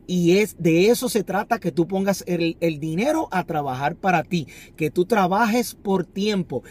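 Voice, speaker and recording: Man, 30s, fairly high voice, earnest finance coach filmed by phone from a car seat. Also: unhurried, a little mellow, breathy edge. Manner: earnest, instructive, repeats key phrases. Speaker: finance vlogger. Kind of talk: motivational talk to camera. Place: inside a parked car. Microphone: phone camera on the dashboard.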